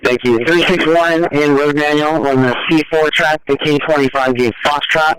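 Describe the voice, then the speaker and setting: A man's voice: on the high side, steady tone, normal speed, fairly bright tone, clear, resonant tone, no echo, poor audio. A man working as a train dispatcher, giving procedural instructions courteously over a two-way radio. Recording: transit radio dispatch audio.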